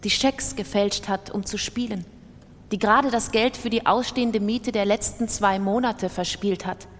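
A woman's voice: medium pitch, moderate pace, close to an everyday voice. A woman performing a troubled, defiant gambler and narrator in a literary radio drama. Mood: grave, bleak. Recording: reverberant hall.

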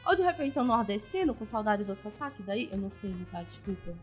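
A woman podcaster chatting casually in an animated, self-deprecating way. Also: medium pitch, quick pace, faint music behind.

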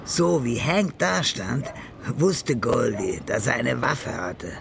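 A man's voice: mid-range voice, very animated, takes it slowly, creaky, background noise. A man narrating a radio crime drama from a script.

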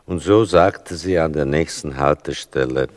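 An actor playing a son who narrates a radio play about his mother in a measured, dryly ironic, gravelly voice. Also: very deep voice, no echo. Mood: wry, fond.

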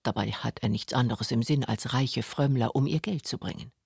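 A woman's voice: very deep voice, normal speed, creaky, darkish tone, slightly rough, no echo, clean studio sound. A woman narrating a scripted radio documentary.

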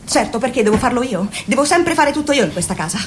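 A woman's voice: fairly high pitch, sing-song, fast, a little hiss or hum. An actress playing a fussy, chatty middle-aged mother who nags her grown son and sells lipsticks by phone. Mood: nagging, pushy. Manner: nagging, pushy, overly sweet. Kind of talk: radio play acting.

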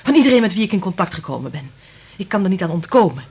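An actress playing a sober, troubled woman scientist in a Dutch radio drama, speaking in a measured, serious way. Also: on the low side, big pitch swings, darkish tone, husky, heavily acted. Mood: strained, weary.

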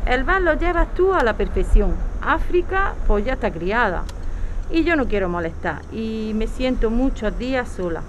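A woman's voice: fairly high pitch, background noise, natural voice. A woman playing an affectionate, lonely older mother in a naturalistic audio drama.

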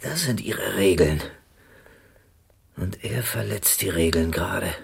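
A man's voice: sits low, takes it slowly, gravelly, slight background noise, heavily acted. A man acting in a German radio drama as an exhausted, hunted private detective, weary, terse and brooding. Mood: weary, bitter.

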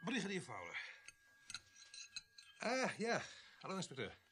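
An actor playing a blunt, suspicious police sergeant in a Dutch radio drama, with a husky, grumbling delivery. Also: male voice, high voice, wildly swooping pitch, a little slow, bright, forceful tone, exaggerated voice. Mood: grumbling, familiar.

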